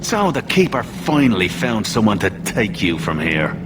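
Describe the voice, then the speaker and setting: A man's voice: fairly low pitch, big pitch swings, moderate pace. A man playing a stern, disdainful elf clan guard in a video game, speaking curtly. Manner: curt, contemptuous. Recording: game audio with clear outdoor noise.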